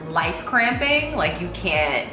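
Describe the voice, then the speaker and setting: A young woman with a low, raspy voice, chatting playfully as co-host. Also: very animated, slow. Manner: playful, laughs often. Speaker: guest co-host. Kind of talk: casual chat. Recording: roomy echo with music behind.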